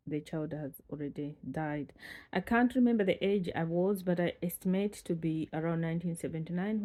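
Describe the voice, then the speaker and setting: A Malawian woman in her forties from the north of the country, telling her own life story in an unhurried way with many pauses and fillers. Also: low-pitched, warm tone. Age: 40s. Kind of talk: reflective personal storytelling.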